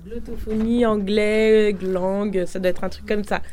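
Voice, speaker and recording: A woman's voice: mid-range voice, fairly even intonation, unhurried, mellow. A woman from the French Antilles answering a street interview with easy laughs. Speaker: passer-by.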